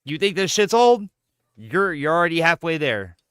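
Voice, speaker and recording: A man in his thirties bantering as a gaming streamer, his voice swinging up and down with lots of life. The tone is bright and clean, and the sound is very dry and close, with no room around it. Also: a little high, normal speed, smooth, no echo. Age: 30s. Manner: joking, profane, animated banter. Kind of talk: casual chat while gaming.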